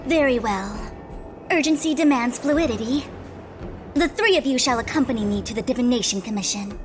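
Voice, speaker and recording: Woman as pompous master diviner, high pitch, formal archaic manner, game cutscene, clear music underneath. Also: animated, moderate pace, natural voice. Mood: firm, confident. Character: haughty, formal young female master diviner. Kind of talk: scripted video game cutscene acting.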